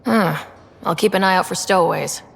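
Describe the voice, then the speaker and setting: Starship leader for a video game: calm-headed, supportive, casual, slightly low pitch. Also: female voice, fairly quick, creaky, in a large hall. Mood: casual, dry. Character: supportive, level-headed young female starship commander. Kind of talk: video game character acting.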